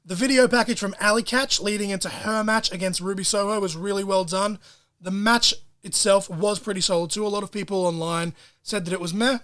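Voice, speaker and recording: A man hosting a solo podcast, talking in an excitable, profane way full of wrestling-fan slang. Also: high-pitched, brisk. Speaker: podcast host.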